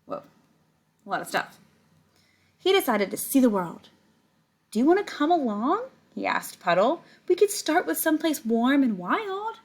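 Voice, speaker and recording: A woman's voice: high-pitched, moderate pace, long pauses. A woman reading a picture book aloud to children like a teacher, stretching words and adding casual explaining asides to her young listeners. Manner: animated storytelling, stretches words, chats directly to young listeners.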